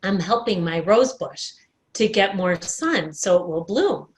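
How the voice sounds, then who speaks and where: A woman voicing an earnest, proud, excited little girl in a storybook. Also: lowish voice, a little slow. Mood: eager, confident. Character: eager, proud little girl who loves roses.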